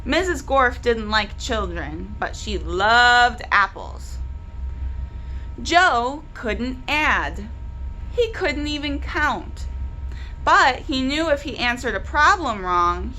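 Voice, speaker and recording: A woman hosting a library book club, reading a children's storybook aloud with deliberate, animated emphasis. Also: on the high side, a little hiss or hum, rough recording.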